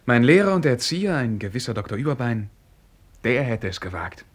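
Radio drama: modest young prince, medium-pitched and husky, formal, a little hesitant. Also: male voice, very animated, fairly quick. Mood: earnest. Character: courteous, reserved young prince, modest and dutiful. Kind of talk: German radio play acting.

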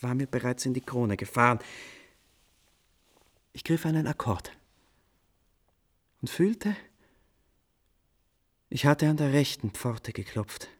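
A playful, impulsive male composer. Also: medium pitch. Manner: animated, ornate, old-fashioned storytelling. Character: playful, impulsive male composer recounting his nighttime inspiration. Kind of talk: German radio play acting.

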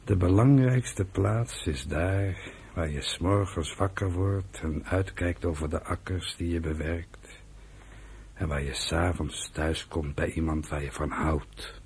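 A man playing a calm, principled old resistance fighter in a Dutch radio drama, with gravelly, deliberate, dignified delivery. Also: sits low, full character voice. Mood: gently wise. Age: older.

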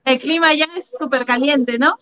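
A woman's voice: sits high, normal speed, sharp tone. A woman co-hosting a casual radio interview, asking short, curious questions. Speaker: radio co-host. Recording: dull lo-fi sound.

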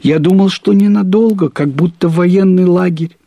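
A man reads a literary story aloud in the first person, expressively, with deliberate phrasing, drawn-out words and pauses. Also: high-pitched, dark tone, no room sound. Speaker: narrator reading a short story aloud.

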